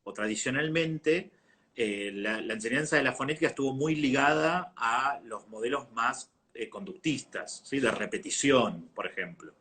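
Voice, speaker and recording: Male interviewer, Rioplatense Argentine accent. Bright, sharp tone at average pitch, conversational questioning. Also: normal speed.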